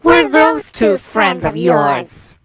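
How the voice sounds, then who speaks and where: A woman's voice: medium pitch, sing-song, drawn-out words, a crowd in the background. A woman voicing a cocky, taunting chaos monster spoiling for a fight in an amateur action drama. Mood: mocking. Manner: taunting, challenging.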